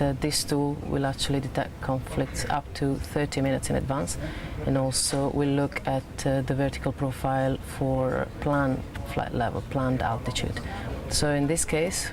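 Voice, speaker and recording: A man's voice: mid-range voice, vocal fry. A man working as an air traffic controller, explaining systems in a technical, matter-of-fact way. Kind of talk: explanatory demonstration.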